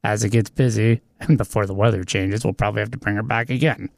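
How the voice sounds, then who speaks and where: A man's voice: on the low side, steady tone, fast talker, vocal fry, darkish tone, no room sound, studio quality. A man co-hosting a radio chat show, telling family news in an easygoing way. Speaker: radio co-host.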